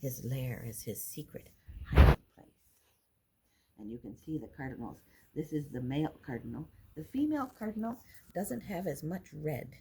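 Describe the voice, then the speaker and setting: Older woman reading aloud, lively pitch. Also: moderate pace. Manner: simple explanatory asides, counting aloud. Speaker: teacher reading to young children. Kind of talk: children's picture book read-aloud with explanations. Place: indoor classroom with wooden furniture. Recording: noisy room recording with clicks.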